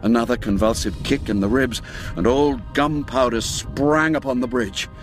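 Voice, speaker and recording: A man narrating a classic radio story in a measured, dramatic way, dropping low and quiet for the grim moments. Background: faint music score.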